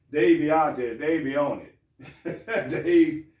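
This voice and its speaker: Male host, swears and jokes, slightly higher voice, echoey room. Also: extremely slow, darkish tone, recorded from a distance. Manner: loose, joking, profane, with frequent restarts. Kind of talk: casual show talk.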